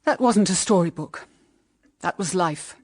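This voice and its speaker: A woman's voice: on the low side, animated, a little slow, darkish tone, dry recording, clean studio sound. An actress with a British accent playing a middle-aged widowed mother, solemn and anguished, in radio drama style. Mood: bitter anguish. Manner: solemn, intense, melodramatic. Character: anguished, bitter widowed mother pleading with her daughter.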